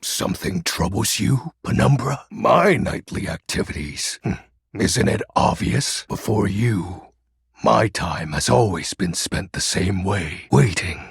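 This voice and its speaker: An actor playing a melodramatic, grandiose yet awkwardly tender shadow lord in a video game, speaking pompously with a raspy, breathy voice. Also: male voice, lowish voice, lively intonation, measured pace, no room sound, clean studio sound, exaggerated voice. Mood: dark, grandiose. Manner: theatrical, pompous, archaic wording. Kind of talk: video game character voice acting.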